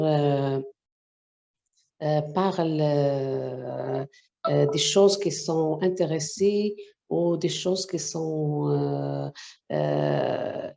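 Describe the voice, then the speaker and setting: A woman journalist whose first language is Arabic, speaking haltingly with many hesitations in a webinar discussion over a video call. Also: deep voice, vocal fry, warm tone, muffled recording. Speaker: journalist, section editor.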